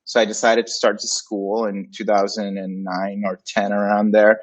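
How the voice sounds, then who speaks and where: A man in his forties, a native Spanish speaker of Puerto Rican and Dominican background, telling his career story as an interview guest in a relaxed, playful way. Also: fairly low pitch, normal speed. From Puerto Rico and Dominican Republic. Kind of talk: casual interview. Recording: dull lo-fi sound.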